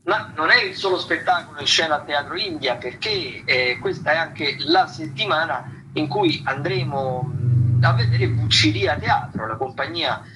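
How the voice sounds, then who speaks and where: A man hosting a radio theatre show, talking unscripted through listings in a halting, wordy way, often repeating and correcting himself. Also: fairly high pitch, animated. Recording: noisy radio broadcast sound.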